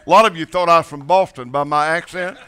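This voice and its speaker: A Southern preacher from Mississippi with a bright, somewhat high voice tells down-home jokes to a church crowd. He stumbles and restarts, repeats his words, and chuckles through his stories. His tone stays loose and folksy, like a guest in the pulpit. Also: fast talker, clear, resonant tone. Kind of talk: folksy storytelling sermon with jokes. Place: church sanctuary with congregation.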